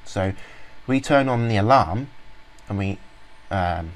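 Rambling low-voiced man demoing software. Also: lively intonation, slow pace. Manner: rambling, many fillers and restarts. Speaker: software reviewer. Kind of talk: informal screen-recorded walkthrough.

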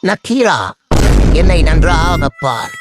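A man's voice: sits high, lively intonation, slow. A man voicing a cheeky, boastful male animal in a comic dub, with colloquial, mock-dramatic delivery. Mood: pompous. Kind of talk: comic dubbing of funny animal clips. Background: music bed.

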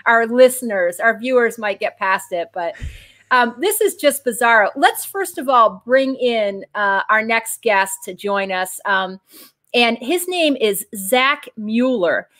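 A middle-aged woman hosting a political discussion show over a video call, who gets excited and jumps up into a higher voice. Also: unhurried. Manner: excitable, pitch jumps high when excited. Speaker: show host. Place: home room with bookshelves.

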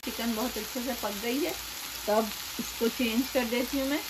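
A woman's voice: a little high, moderate pace, noisy background. Chatty woman explaining a home recipe. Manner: chatty step-by-step explaining, addresses viewers directly. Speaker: cooking channel host. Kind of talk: home cooking demonstration. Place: home kitchen counter.

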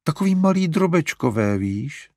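Male reader doing a high-pitched flustered mum, coaxing, voice jumping around. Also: very animated, a little mellow, no echo, clean studio sound. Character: fussy, fretful middle-aged mother.

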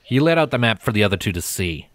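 A man's voice: on the low side, very animated, fast, no echo. A man reading scripted fiction as an audiobook narrator.

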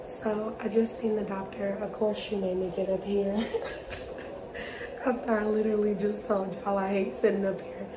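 A young woman, chatting casually to her viewers in a vlog, congested and hoarse from a cold. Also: mid-range voice, flat delivery, unhurried, noisy background. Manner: chatty, addresses viewers as y'all. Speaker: vlogger. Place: parked car.

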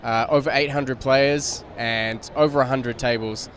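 A man in his thirties from New Zealand, a media director giving a relaxed live recap at a tournament studio desk on a handheld mic, with many fillers. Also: mid-range voice, a little slow, slight background noise. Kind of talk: live sports show analysis. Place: studio set at a convention center.

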